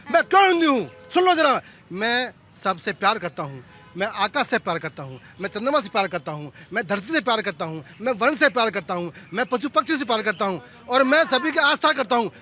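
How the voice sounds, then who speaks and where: A man's voice: very high-pitched, sharp tone. A man giving an impassioned speech at a street debate, speaking in repeated, rhythmic phrases. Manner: declamatory, rhythmic repetition. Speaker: debate participant.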